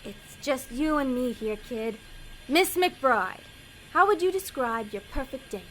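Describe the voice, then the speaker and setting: A woman's voice: high-pitched, expressive, a little slow, a little hiss or hum, rough recording. An actress playing a bossy, scheming female demon in an audio drama, blunt, slangy and impatient. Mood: coaching.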